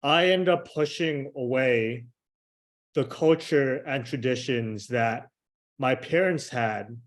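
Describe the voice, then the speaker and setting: A young man from Hong Kong, raised in Miami, giving a measured prepared speech over a video call. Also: medium pitch. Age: young adult. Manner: slow, measured delivery with pauses. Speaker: club member giving a speech. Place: home room with shelves. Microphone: video call webcam.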